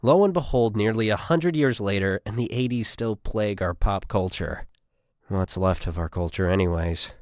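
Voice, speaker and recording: A man's voice: lowish voice, moderate pace, a little mellow, no echo, clean studio sound. A man reading a scripted military science fiction story as an audiobook narrator.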